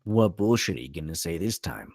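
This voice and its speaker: A man's voice: low voice, sing-song, brisk, darkish tone, no room sound, studio quality. A man at a script table read playing a brash, sarcastic young delinquent brother, mouthy and emphatic. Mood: sardonic.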